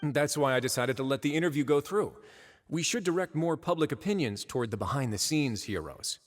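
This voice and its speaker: An actor playing a composed, courteous duke of a prison fortress in a video game, speaking in a polished, measured way with understated irony. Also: male voice, fairly high pitch, expressive, close to an everyday voice. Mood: calm, dry.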